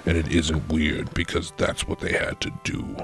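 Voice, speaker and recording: A man narrating a scripted comedic adventure story in a deadpan manner. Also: a bass voice, moderate pace, soft background music.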